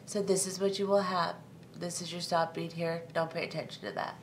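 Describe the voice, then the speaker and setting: Calm woman teaching a beading tutorial. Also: lowish voice, fairly quick, slight background noise. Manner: calm, methodical, with frequent ums. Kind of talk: step-by-step craft tutorial. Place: tabletop craft workspace, indoors.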